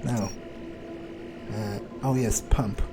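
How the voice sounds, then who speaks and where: A man playing a role-playing game in character, thinking aloud in a halting, musing mutter as his vampire character. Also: on the low side, steady tone, loud music behind the voice.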